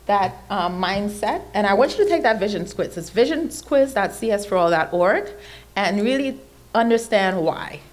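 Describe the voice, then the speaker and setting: A woman from Trinidad with a Trinidadian accent, giving an animated motivational speech at an event, building emphatic lists and laughing often. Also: medium pitch, moderate pace. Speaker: keynote speaker. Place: event stage.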